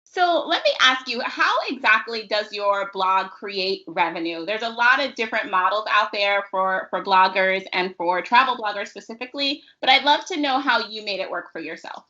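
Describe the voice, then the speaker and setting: Female interviewer, crisp bright tone, conversational delivery, recorded with slightly dulled lo-fi audio. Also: mid-range voice, expressive, moderate pace, few pauses. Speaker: talk show host, interviewer.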